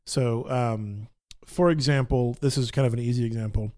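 Man, podcast co-host, casual chat, explanatory, hedging, mid pitch. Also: fairly even intonation, normal speed, darkish tone.

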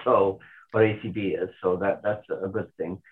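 A man, calling in and talking thoughtfully with pauses and restarts. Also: low-pitched, little pitch movement. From Portugal. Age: 60s. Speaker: caller. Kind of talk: casual call-in chat. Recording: tinny phone line.

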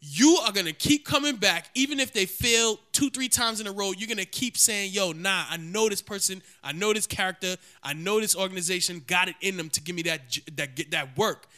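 Animated high-voiced man, very bright. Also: brisk, hardly any pauses. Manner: animated, teasing, trash-talking. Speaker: podcast co-host. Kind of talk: casual chat.

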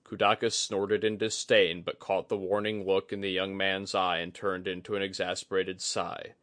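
A man with a fairly low voice narrates fantasy fiction. It is a scripted audiobook read. The sound is dry, with very little room in it. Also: level tone, moderate pace, a bit bright, no room sound.

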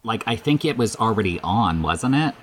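A podcast guest chatting casually in a halting, hesitant way. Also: medium pitch, animated, slight background noise. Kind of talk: casual chat.

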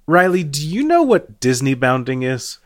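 A man's voice: fairly high pitch, very animated, moderate pace. A man co-hosting a podcast in casual comedic chat with a wry, dry sense of humor. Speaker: podcast co-host.

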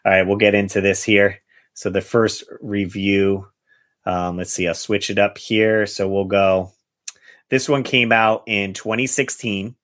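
A man's voice: fairly low pitch, fairly bright tone. A man chatting casually as a podcast host in an easygoing manner.

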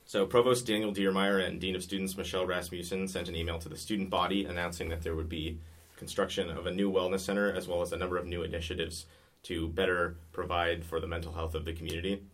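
Young guy with a really deep voice reading campus news in a booth, steady and scripted. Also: quick pace. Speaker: host of student news show. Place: recording booth.

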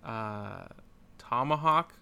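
A man co-hosting a casual gaming podcast, speaking in a halting, hesitant way. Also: mid-range voice, animated, creaky, muffled recording, a crowd in the background. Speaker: podcast co-host. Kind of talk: casual video game podcast chat.

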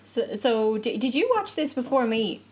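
A woman's voice: fairly high pitch, very animated, moderate pace, darkish tone, slight background noise, low-quality recording. A woman co-hosting a casual podcast chat, agreeing readily, laughing easily and chiming in often. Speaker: podcast co-host.

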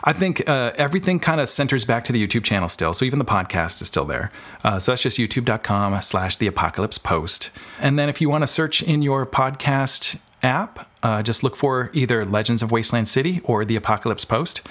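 A man's voice: mid-range voice, expressive, brisk, fairly bright tone. A man speaking as an interview guest, chatting informally and candidly with rambling explanations. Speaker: podcast guest, filmmaker and audio drama creator. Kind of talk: casual interview chat.

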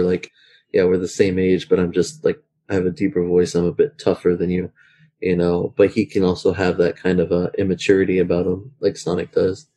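Deep, husky-voiced man chatting. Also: warm tone. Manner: thoughtful, hesitant with fillers. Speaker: podcast co-host. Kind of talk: casual podcast chat.

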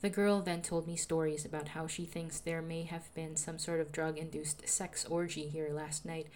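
A young woman with a soothing voice, calmly narrating a personal audio diary as a podcaster. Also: sits low, normal speed, slight background noise. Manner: calm, reflective, confessional.

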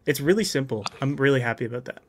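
Male host, mid-pitched, upbeat and enthusiastic, loose conversational delivery. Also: animated, fast talker, slight background noise. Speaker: podcast host and game master. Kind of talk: casual chat.

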